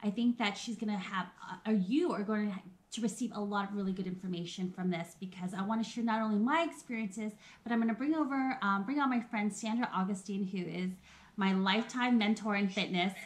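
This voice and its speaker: A woman's voice: mid-range voice, brisk. A woman in her thirties hosting a casual live video, chatty and personal and often laughing as she talks straight to her viewers. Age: 30s. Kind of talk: casual live-stream chat.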